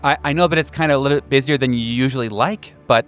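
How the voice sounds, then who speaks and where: Man playing an effusive, stammering middle-aged friend, lifted pitch. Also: fast talker, fairly bright tone, faint music behind, lightly acted. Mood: eager, nervous.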